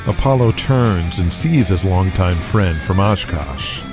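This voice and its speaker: Deep-voiced male storyteller over music. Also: animated, slow. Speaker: narrator of an audio drama. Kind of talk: scripted dramatic narration.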